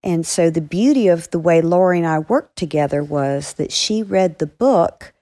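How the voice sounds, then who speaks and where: A woman's voice: fairly low pitch, normal speed, mellow. A woman speaking as an author, answering a question in a conversational, explanatory way.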